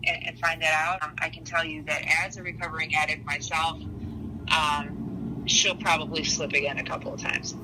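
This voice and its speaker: A woman's voice: deep voice, flat delivery, normal speed, noisy background. A woman giving a casual astrology reading in a blunt, matter-of-fact way.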